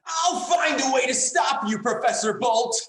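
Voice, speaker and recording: Woman doing a defiant young guy hero, slow dramatic delivery, roomy sound, sci-fi play reading. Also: sits high, lively intonation. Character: earnest, defiant young male time-traveling hero, often bewildered.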